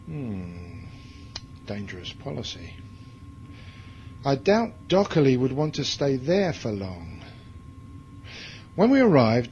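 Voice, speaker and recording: A man's voice: medium pitch, darkish tone, highs cut off, slight background noise. An actor playing a cold, cruel, commanding male space commander in a science fiction audio drama, with contempt in his delivery.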